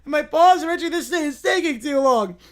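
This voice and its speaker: Woman acting whiny, bratty high-pitched little kid. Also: expressive, brisk. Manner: whiny, petulant complaining. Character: whiny, bratty, weepy small children.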